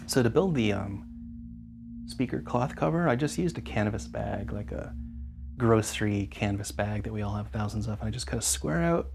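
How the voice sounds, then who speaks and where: A man's voice: mid-range voice, creaky, noisy background. Enthusiastic, geeky man explaining a DIY build. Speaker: DIY amp builder and host. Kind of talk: casual hands-on workshop walkthrough.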